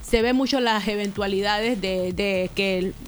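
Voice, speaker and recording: A woman speaks as a restaurant owner in an interview, upbeat and emphatic as she talks up her business. Her pitch sits in a middling range. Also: measured pace, soft background music. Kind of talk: interview guest.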